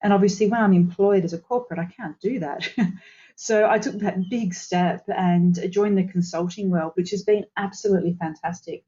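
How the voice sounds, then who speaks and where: A woman's voice: medium pitch, moderate pace, dark tone, lo-fi sound. An Australian woman, a consultant guest on a podcast, telling her career story fluently and with enthusiasm. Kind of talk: podcast interview.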